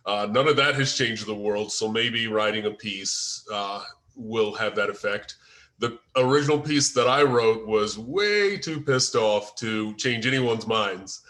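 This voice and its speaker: This is an American man with a fairly low voice. He talks in an informal, unscripted way, as a writer in an online group discussion. His delivery is halting and hesitant, as he searches for the next word. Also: a bit bright. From United States. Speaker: screenwriter and first-time filmmaker. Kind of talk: informal discussion after a table read. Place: online group video call.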